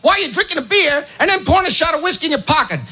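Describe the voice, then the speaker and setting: A man telling stand-up jokes in a punchy, clipped style, shouting out the dialogue and punchlines. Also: very high voice, big pitch swings, very fast. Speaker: stand-up comedian.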